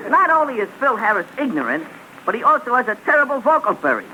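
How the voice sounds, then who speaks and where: A man's voice: extremely high pitch, big pitch swings, fast, bright, forceful tone, heavily acted. A man playing a brash, uneducated male fan who mangles fancy words, in 1940s radio comedy acting on a noisy old broadcast recording. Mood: cocky. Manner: cocky, tough-guy delivery with malapropisms.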